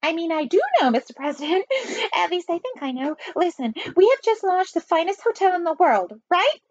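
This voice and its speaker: Woman acting a male storybook spy, high falsetto, swooping pitch, fawning, theatrical, twitchy. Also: animated, normal speed, no room sound, muffled recording. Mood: blustering.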